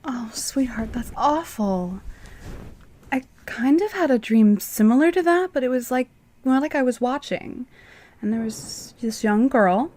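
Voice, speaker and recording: A woman playing a caring, sleepy wife in a radio mystery drama, with a gentle, soothing manner. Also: a little high, animated, unhurried, no echo. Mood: concerned, soothing.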